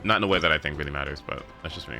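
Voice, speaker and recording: Deep, bright-voiced man streaming a game. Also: rapid-fire, creaky. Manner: rambling, thinking aloud, swearing freely. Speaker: livestreamer. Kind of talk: casual gaming stream chat. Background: game music.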